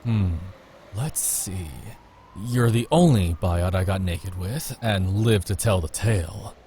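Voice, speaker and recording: A man's voice: low-pitched, slow pace, mellow, slight background noise, voice pushed down. A man voicing a cocky, playful male love interest in a visual novel, teasing and breezy, over music. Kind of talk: visual novel character reading.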